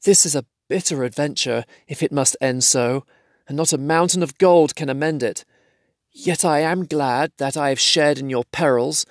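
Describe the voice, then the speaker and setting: Man voicing a small kindly hobbit, fretful, self-deprecating, raised pitch, dry close sound. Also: animated, moderate pace, creaky, no room sound. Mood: sorrowful. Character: small kindly male hobbit, anxious and gentle.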